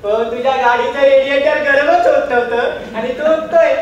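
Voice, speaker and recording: A man's voice: very high voice, fairly even intonation, moderate pace, highs cut off. A man playing a cheeky friend who teases and mocks in a comic stage play. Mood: mocking. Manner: teasing, mocking. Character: cheeky male friend teasing a bachelor. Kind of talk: theatrical stage comedy acting. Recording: echoey stage hall from far, faint noise.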